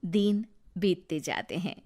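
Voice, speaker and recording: A woman's voice: lowish voice, slow, mellow. A woman narrating a scripted moral story.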